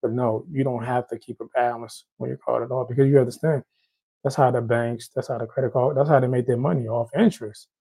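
Gravelly Haitian-accented man, dark-toned. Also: mid-range voice, fairly even intonation, quick pace, low-quality recording. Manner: loose, repeats and restarts phrases. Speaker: host. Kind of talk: casual credit advice Q and A.

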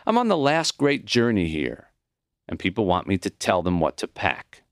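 A man voicing a kind, wise old dying professor in an audiobook, with a high, smoky voice and an earnest, teacherly manner. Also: huge pitch swings, normal speed, no room sound, clean studio sound. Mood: wry, upbeat.

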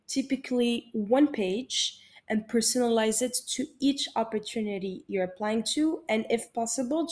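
A woman from Morocco hosting a solo podcast, giving upbeat, motivational career advice in short, emphatic phrases. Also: medium pitch. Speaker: podcast host and career coach.

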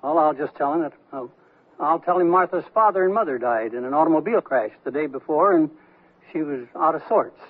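A man's voice: on the high side, animated, a little fast, breathy edge. A man acting in a radio comedy as a blunt, sardonic business consultant who lectures executives. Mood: brisk, reproachful. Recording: tinny band-limited old radio broadcast.

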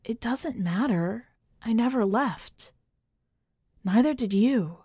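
A woman's voice: on the high side, expressive, slow, mellow, husky, no echo, clean studio sound, full character voice. A woman voicing a tired, bitter and defiant middle-aged woman in an audio story. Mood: resigned.

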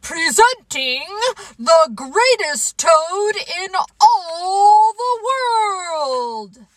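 A woman voicing a strange, grandiose stage announcer in a children's storybook, pompous and booming. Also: very animated, drawn-out words, dry recording, heavily acted.

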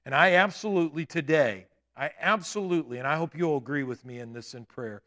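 A man in his late fifties preaching a sermon in a church, with emphatic declarations and quieter asides. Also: a little high, a little fast. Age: 50s. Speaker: pastor. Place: church sanctuary.

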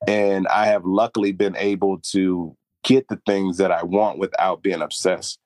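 A man's voice: low-pitched, little pitch movement, normal speed, darkish tone, no room sound. A young man talking earnestly and reflectively about pride and humility in a casual podcast chat. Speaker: podcast co-host.

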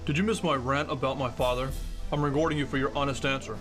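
Actor as curt bossy executive guy, rapid talker, radio play with music under it. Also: medium pitch, fairly even intonation, lightly acted. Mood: brusque, commanding. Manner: curt, commanding. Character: brusque domineering male corporate boss. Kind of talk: scripted audio drama acting.

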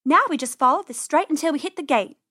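A woman acts a little girl rabbit from a children's storybook. The voice is very high and urgent. She is a brave, protective sister who likes to take charge. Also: lively intonation, quick pace, pushed and bright. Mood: bossy, determined.

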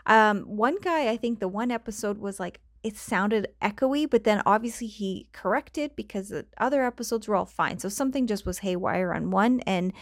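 A woman's voice: medium pitch, moderate pace, hardly any pauses, dry recording, studio quality. A woman with a Canadian accent hosting her own podcast, talking casually and rambling off on tangents with frequent laughs, on a cheap home microphone. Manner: chatty, rambling, laughs often, goes off on tangents. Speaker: podcast host. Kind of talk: casual solo podcast chat.

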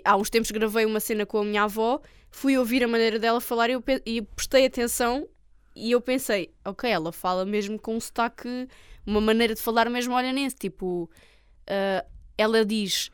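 Young female podcast co-host, Algarve Portuguese accent, informal storyteller, smooth high voice, dry close sound. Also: fairly even intonation, a little fast, no echo. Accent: European Portuguese, Algarve. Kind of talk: casual chat.

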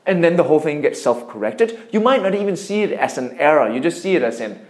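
A man with a Danish accent and a slightly high-pitched voice talks in an echoey room. He is a startup founder answering interview questions, explaining his thinking emphatically with examples and imagined exchanges. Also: big pitch swings, fast talker. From Denmark.

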